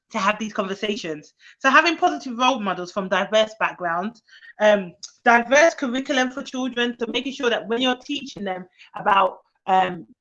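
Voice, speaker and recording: Chatty woman, slightly muffled audio. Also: fairly bright tone. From England, grew up in London. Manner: chatty and rambling, many fillers and restarts; lifts her voice high to quote children. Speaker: nanny hosting a live stream. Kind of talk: casual live-stream chat to viewers. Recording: slightly dull lo-fi live-stream audio.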